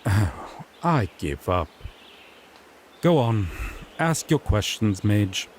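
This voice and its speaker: A man's voice: fairly low pitch, sing-song, very slow, heavy background noise, heavily acted. A man playing a sassy, exasperated griffin knight in a fantasy audio roleplay, pompous one moment and sardonically grumbling the next. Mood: resigned, wry. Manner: pompous proclamations slipping into flustered, sardonic grumbling.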